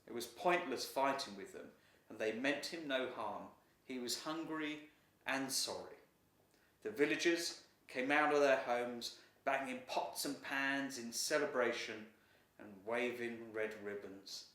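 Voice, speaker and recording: A man reading a children's story aloud as a storyteller, with dramatic, expressive delivery. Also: mid-range voice, measured pace. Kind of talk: scripted storytelling narration. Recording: roomy echo, distant from mic.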